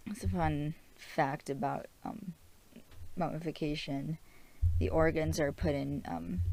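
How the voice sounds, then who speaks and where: A woman's voice: deep voice, creaky, darkish tone. A woman hosting a fan show, recapping a TV episode in a casual, chatty way with lots of fillers and small giggles. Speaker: podcast host.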